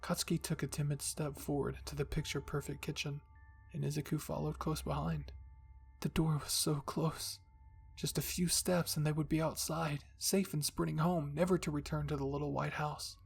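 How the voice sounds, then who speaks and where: A man reading a spooky fan-fiction story in soft, hushed ASMR narration. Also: on the high side, flat delivery, normal speed, vocal fry, faint music behind. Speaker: storyteller, channel host.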